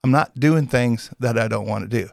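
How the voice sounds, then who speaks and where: A man's voice: medium pitch, a little fast, gravelly, darkish tone, dry recording, clean studio sound. A man, hosting a casual local radio interview in a folksy, teasing way. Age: 60s. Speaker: radio show host. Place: small radio studio.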